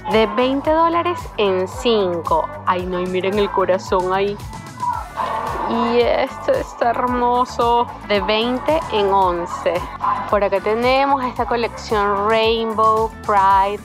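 A young woman talks to her viewers while browsing a shop, exclaiming over every item and rattling off prices. Her voice sits at an ordinary middle pitch. Store music plays clearly behind her. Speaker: travel vlogger. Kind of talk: shopping tour vlog, talking to viewers. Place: indoor retail store. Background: store background music.

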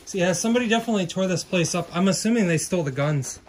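A man's voice: high voice, lively intonation, rapid-fire, slight background noise. A young man chatting casually as he explores a cluttered house and talks through what he finds. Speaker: urban explorer filming a video. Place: inside a cluttered abandoned house. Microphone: handheld camera or phone, held by speaker.

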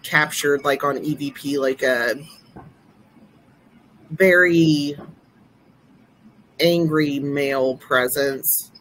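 A woman in her forties with a deep voice, co-hosting a podcast over a video call and rambling through her stories with many fillers. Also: drawn-out words, long pauses, fairly bright tone, slight background noise, rough recording. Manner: rambling, lots of fillers and restarts. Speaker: podcast co-host. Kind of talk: casual chat.

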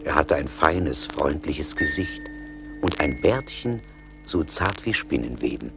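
A male storyteller narrates a fairy tale on an old record, with music behind him. His low voice is gravelly and moves expressively, from hushed to dramatic. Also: big pitch swings, unhurried.

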